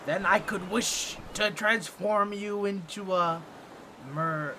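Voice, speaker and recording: A man voicing an excitable, boastful talking octopus in a comedic audio roleplay, with a nasal, squawky voice and a fussy, theatrical, pompous way of talking to the listener. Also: high-pitched, slow, slight background noise, exaggerated voice. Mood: sly, flattering.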